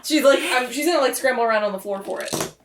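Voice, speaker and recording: A playful, excitable woman playing a tabletop game, moving casually between table chat and her character's actions. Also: on the high side, quick pace, background noise.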